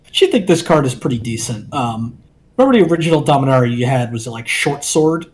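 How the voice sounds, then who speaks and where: A man co-hosting a card game review, talking in a measured, analytical way with some filler ums. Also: medium pitch, expressive, darkish tone. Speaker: co-host.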